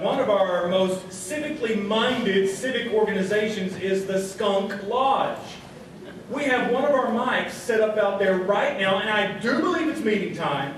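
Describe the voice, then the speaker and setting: A man's voice: high-pitched, slight background noise. A man playing a folksy, obsequious small-town radio host in a comic stage radio show. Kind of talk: comic radio theatre acting. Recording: very echoey hall, distant mic.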